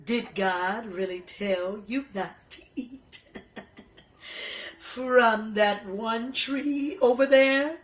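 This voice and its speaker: A woman voicing a sly, tempting serpent in a children's story, coaxing in a slow, drawn-out way. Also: a little high. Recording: echoey room.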